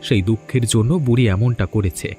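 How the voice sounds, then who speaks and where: A man's voice: fairly low pitch, steady tone, a little fast, mellow, faint music behind, close to an everyday voice. A man playing a weary, reasonable poor farmer in a cartoon fairy tale, speaking earnestly.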